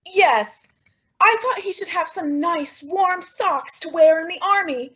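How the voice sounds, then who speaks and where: A woman playing a flustered, secretive and affectionate housewife in an old-time radio sitcom, with animated, exclamatory delivery. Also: extremely high pitch, a little slow. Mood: coy, cheerful. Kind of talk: 1940s radio sitcom acting.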